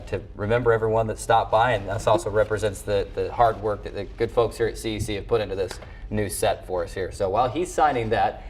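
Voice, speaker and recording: A man's voice: mid-range voice, a little mellow. A man hosting a sports talk show in a chatty, conversational and wordy way. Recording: faint background noise.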